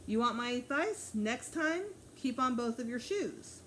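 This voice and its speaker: Woman playing a brisk, matter-of-fact policewoman. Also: a little high, animated, a bit bright, slight background noise, voice pushed up. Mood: advising. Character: brisk, matter-of-fact female transit cop.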